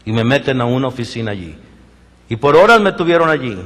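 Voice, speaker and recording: Mexican preacher in an echoing church. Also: male voice, medium pitch, quick pace. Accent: Mexican Spanish. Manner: animated storytelling, acts out quoted voices, many restarts. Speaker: pastor. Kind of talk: sermon with personal stories. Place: church sanctuary.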